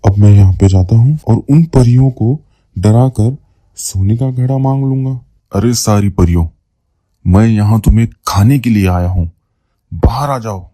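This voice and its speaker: A man's voice: fairly low pitch, a little slow, warm tone, no echo, clean studio sound. A man voicing a henpecked, blustering husband in a Hindi cartoon moral story. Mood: threatening, blustering. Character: reluctant henpecked husband, blustering when threatening.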